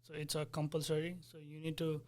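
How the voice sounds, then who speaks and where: Young man, flat and earnest. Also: a little high, fairly quick. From Nepal. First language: Nepali. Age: 20s. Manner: earnest, explanatory, searching for words. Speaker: software developer, interviewee. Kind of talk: casual interview.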